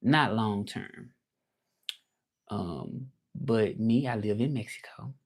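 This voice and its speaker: A man's voice: on the low side, slow pace. A man from Memphis with a Southern accent, talking casually and reflectively on a livestream, rambling with many fillers. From Memphis, Tennessee. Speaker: livestream host.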